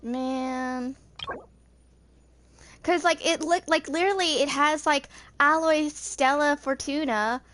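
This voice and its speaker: A woman's voice: sits high, slow pace, a bit bright, soft background music. A woman in her twenties chatting casually while gaming, with a rambling, informal way of talking. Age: 20s. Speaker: video game streamer.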